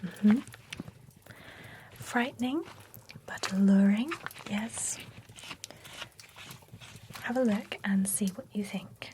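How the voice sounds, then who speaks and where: A fussy female vampire spa groomer, played by a woman, whispers close to the mic in an ASMR roleplay. She gossips primly in a slow, unhurried drawl. A clear steady hiss sits under the whispering. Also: mid-range voice, close to an everyday voice. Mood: soft, gossipy. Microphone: close sensitive ASMR mic.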